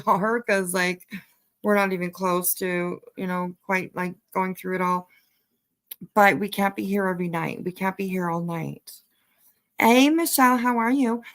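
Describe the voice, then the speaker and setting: A woman's voice: on the low side, fairly bright tone. A woman hosting a casual livestream commentary, talking bluntly and emphatically, repeating phrases for emphasis and swearing casually. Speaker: livestream host.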